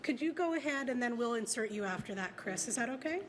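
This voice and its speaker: Lady chair calling out names at a meeting, highish voice, bit of room noise. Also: expressive, fast. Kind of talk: procedural meeting announcements.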